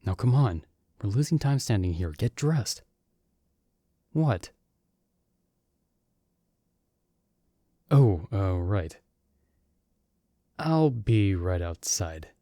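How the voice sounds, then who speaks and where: A man's voice: fairly low pitch, big pitch swings, slow pace, long pauses, mellow, dry recording, studio quality. A man playing a teasing young summoned friend. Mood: hushed, urging. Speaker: voice actor. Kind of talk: ASMR audio roleplay.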